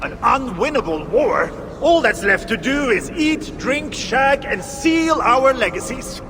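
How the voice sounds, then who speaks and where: Very high-voiced carousing dwarf. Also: male voice, lively intonation, a little slow, full character voice. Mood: fatalistic, boastful. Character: loud, fatalistic carousing male dwarf reveller. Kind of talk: video game cutscene acting. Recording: long hall reverb, clear background noise.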